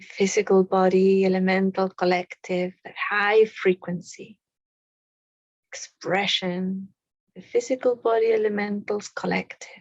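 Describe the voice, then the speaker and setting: A woman leading a guided meditation in a soothing, unhurried way, with pauses between short phrases. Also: mid-range voice, little pitch movement, dry recording, dull audio.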